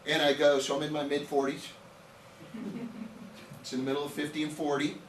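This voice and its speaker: A man's voice: a little high, flat delivery, a little fast, slight background noise. A man of about fifty giving an informal nutrition training to a live audience, chatty and joking, telling stories and throwing out rhetorical questions. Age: around 50. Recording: echoey room, voice picked up from a distance.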